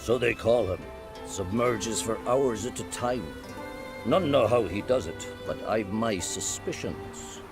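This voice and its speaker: A man's voice: fairly low pitch, unhurried, music in the background, natural voice. A man voicing a learned, grumpy old druid in a video game, wry and sententious in manner. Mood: informative, wry.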